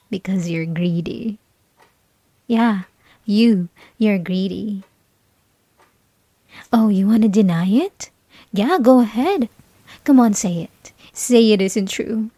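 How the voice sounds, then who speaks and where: Woman acting a slow, taunting young female bully. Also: fairly high pitch, mellow, no room sound. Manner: taunting, condescending, teasing, with breathy whispered asides. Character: mocking, possessive young female bully, sweetly menacing. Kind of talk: ASMR roleplay, intimate scripted monologue to listener.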